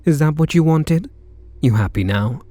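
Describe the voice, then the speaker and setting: A man's voice: fairly high pitch, lively intonation, normal speed, mellow. Man acting a flirty British kidnapper, swooping intonation. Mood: grudging, exasperated. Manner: teasing, flirtatious, murmuring pet names. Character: charming, teasing male kidnapper, softening toward captive. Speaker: ASMR roleplay voice actor. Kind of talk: intimate ASMR roleplay acting.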